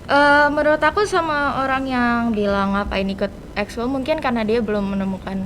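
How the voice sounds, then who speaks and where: A woman's voice: a little fast, fairly bright tone. A teenage young woman, giving casual answers as a student guest on a podcast. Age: teens. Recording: rumbly background noise.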